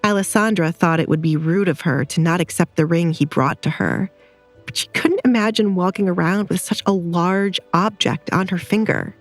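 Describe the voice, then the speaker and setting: A woman narrates a scripted romantic audio drama. Her voice sits fairly low, with music playing underneath. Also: moderate pace.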